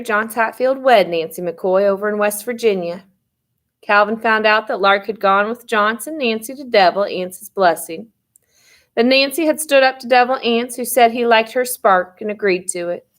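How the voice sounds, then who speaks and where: A woman narrating a first-person historical novel as an audiobook storyteller, with expressive reading. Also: on the high side. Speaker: reader of an audiobook chapter.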